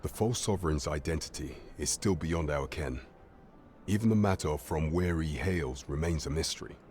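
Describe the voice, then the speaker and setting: An actor playing a grave, courtly and loyal royal official in a fantasy game, speaking in formal, measured, archaic phrasing. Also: male voice, darkish tone, slight background noise. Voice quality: very deep. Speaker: actor voicing a royal advisor. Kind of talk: scripted fantasy game dialogue.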